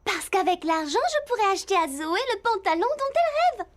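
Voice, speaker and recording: A woman's voice: extremely high pitch, big pitch swings, brisk. A woman voicing a caring, dramatic teenage girl rider in a French cartoon dub, speaking expressively. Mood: determined. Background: background music.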